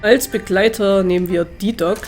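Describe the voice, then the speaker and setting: A man's voice: sits high, fairly even intonation, unhurried, faint music behind. A man with a slightly congested, nasal voice from a cold, giving casual, hesitant Let's Play commentary as he thinks aloud.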